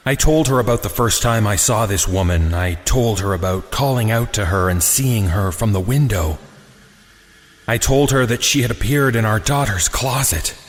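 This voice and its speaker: A man reading a scripted first-person horror story in a suspenseful, dramatic way with deliberate pauses. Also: mid-range voice, a little hiss or hum. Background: music.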